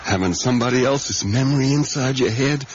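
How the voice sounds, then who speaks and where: An actor playing a troubled, obsessive diner worker in a radio drama, speaking in a halting, insistent, intense way. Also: male voice, medium pitch, music in the background, close to an everyday voice. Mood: haunted. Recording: dull, noisy 1980s radio broadcast.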